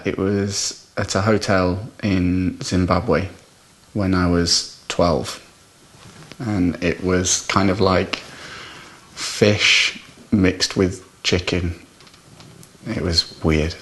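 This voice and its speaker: A man telling a personal anecdote off the cuff in a hesitant, halting way. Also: low-pitched, steady tone, a bit bright. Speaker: musician in a band, interviewee.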